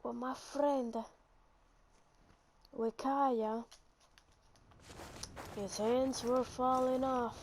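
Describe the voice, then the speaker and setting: A woman commenting casually on her own gameplay in a halting, rambling way. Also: sits high, steady tone, slight background noise. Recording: loud game music over voice.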